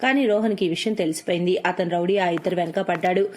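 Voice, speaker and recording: A woman's voice: fairly low pitch, fast, a little mellow, a little hiss or hum. A woman narrating a cartoon moral story in a scripted storytelling style.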